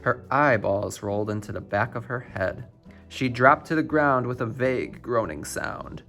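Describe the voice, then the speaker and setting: A man reading a rhyming children's storybook aloud in an expressive, theatrical storytelling style. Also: medium pitch, normal speed, faint music behind. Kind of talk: scripted rhyming picture-book narration.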